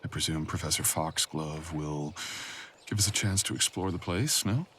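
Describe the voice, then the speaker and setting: A man playing a brooding, possessive, teasing boyfriend in an intimate, unhurried ASMR audio roleplay. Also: a bass voice, fairly bright tone, slight background noise. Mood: sly, teasing.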